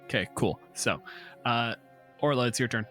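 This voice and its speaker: A man's voice: medium pitch, moderate pace, sharp tone. Man game mastering over faint music. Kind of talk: casual tabletop game narration and banter.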